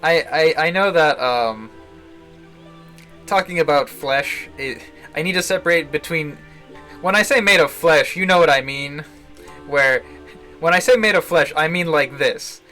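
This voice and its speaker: A man giving casual, halting live commentary on a visual novel, chuckling to himself as he rambles. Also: a little high, pushed and bright, low-quality recording. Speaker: game streamer, host. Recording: game music behind the voice. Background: video game music.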